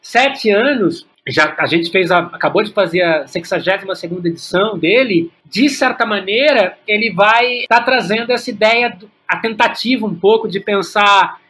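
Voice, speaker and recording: A man's voice: high-pitched, normal speed, few pauses, bright, forceful tone. A man with a Brazilian accent in a reflective interview, speaking as a guest playwright and often repeating words while he thinks aloud.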